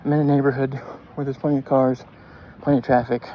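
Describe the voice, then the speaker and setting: A man of forty talking casually in a halting, self-deprecating way, thinking aloud as he rambles through a vlog. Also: medium pitch, level tone. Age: 40s. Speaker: vlogger. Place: outdoors, walking along a neighborhood street. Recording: dull phone audio, noisy street ambience with traffic.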